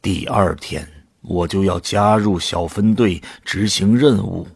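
A man narrating an audio drama in the first person, in a sombre, deliberate storytelling manner. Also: deep voice, vocal fry, breathy edge, no echo, studio quality.

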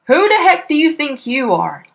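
A woman voicing a boastful, crooked male car dealer who talks loudly and smugly. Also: a little high, very animated, moderate pace, voice pushed up. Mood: angry. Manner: blustering and smug, loud.

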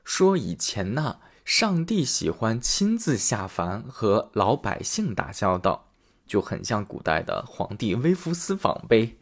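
A male storyteller reading a classic fairy tale aloud for children. His friendly delivery rises and falls a lot in pitch, keeping the telling lively. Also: unhurried. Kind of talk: bedtime story narration.

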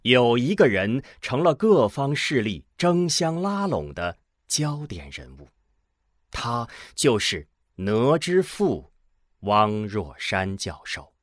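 A male narrator reads a science fiction drama script. He is slow and deliberate, with a widely moving, dramatic intonation. The tone is solemn and the sound is dry and close. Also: medium pitch, big pitch swings, no room sound.

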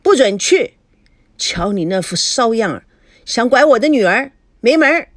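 Woman acting a shrewish, jealous mother, lively swooping pitch. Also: normal speed, no echo, clean studio sound. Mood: furious scolding. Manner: scolding, coarse, sarcastic. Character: jealous, shrewish mother, foul-tempered and suspicious.

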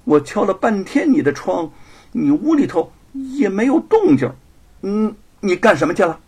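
A man's voice: high-pitched, huge pitch swings, normal speed, slightly rough, voice pushed up, full character voice. A man voicing a jealous, snide senior wife of a household in an audiobook story. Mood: snide accusing. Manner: snide, accusing.

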